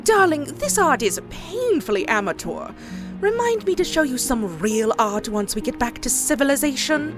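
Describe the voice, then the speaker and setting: A woman's voice: high voice, very animated, moderate pace. A woman playing a snobbish, theatrical aristocratic mare in an audio drama, talking with affected condescension. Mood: haughty disdain. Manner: affected, condescending, posh wording. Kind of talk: audio drama character acting. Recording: music behind the voice.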